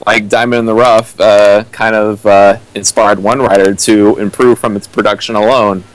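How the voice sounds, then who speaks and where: A man's voice: lowish voice, flat delivery, normal speed, no room sound, rough recording. A young man giving casual comedic commentary, dry and wry, as a co-riffer on a reading.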